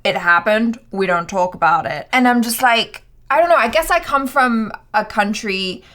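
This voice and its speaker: A woman's voice: on the high side, animated, normal speed, a bit bright. A young adult woman co-hosting a film reaction show, chatting casually and animatedly with little quoted asides. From half Thai. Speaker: film trailer reaction co-host. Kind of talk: casual chat.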